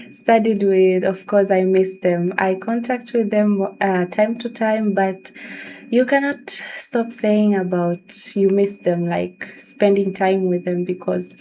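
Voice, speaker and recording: A young Tanzanian woman talks straight to camera in an unhurried, earnest way, her first language being Swahili. She speaks slowly, at a pitch typical for a woman. Behind her there is a faint hum of room ambience. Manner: slow, earnest, with soft laughs. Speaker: vlogger. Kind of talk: casual talk to camera.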